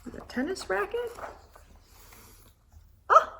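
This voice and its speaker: A woman reading a picture book aloud to children, expressively, with gasps and hushed asides. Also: very high voice, sing-song, takes it slowly, creaky, slight background noise. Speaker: children's read-aloud storyteller.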